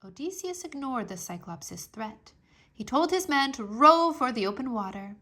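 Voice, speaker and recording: A woman reading a scripted story aloud for children in an expressive, deliberate storytelling manner. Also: a little high. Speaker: storybook narrator, English teacher.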